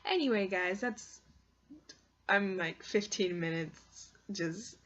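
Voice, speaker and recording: A woman's voice: medium pitch, takes it slowly. A woman chatting casually and expressively like a video blogger, rambling along with "like" sprinkled through her sentences. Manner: expressive, squeamish, exclaims in disgust; heavy use of "like" as a filler. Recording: dull lo-fi sound with clear background noise.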